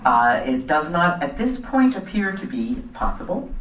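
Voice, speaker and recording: A woman's voice: lowish voice, very animated, moderate pace. A middle-aged woman speaking earnestly and plainly as a resident at a public meeting, partly off the cuff. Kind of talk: public comment speech, semi-extemporaneous. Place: community center meeting hall. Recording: echoey hall, distant microphone.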